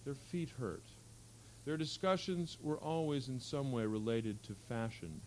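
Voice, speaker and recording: A man with a mid-pitched voice reads a novel excerpt aloud to a live event. His delivery is measured and articulate, in the manner of literary storytelling. A faint hum and light noise sit behind him. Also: expressive, darkish tone, low-quality recording. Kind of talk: reading literary fiction aloud. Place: live public reading at an event.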